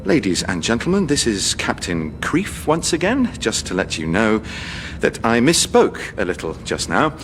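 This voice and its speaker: An actor playing an officious, easily flustered airline captain with a British accent, sarcastic and pedantic, in a radio sitcom. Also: male voice, fairly low pitch, huge pitch swings, moderate pace, in a large hall, loud music behind the voice. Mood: sheepish, formal. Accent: British English.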